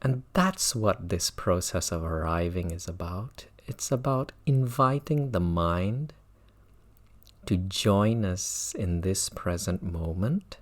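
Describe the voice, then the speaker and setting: Man, meditation teacher, very slow pace, low pitch, measured phrasing, long pauses, repeated phrases. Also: lively intonation. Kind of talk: guided mindfulness meditation.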